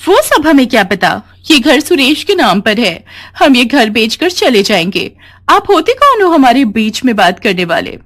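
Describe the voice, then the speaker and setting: A woman voicing a haughty, greedy young wife in a moral story, with a sharp, contemptuous manner. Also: fairly high pitch, sing-song, normal speed, voice pushed up. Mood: defiant, rude. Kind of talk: animated moral story dialogue.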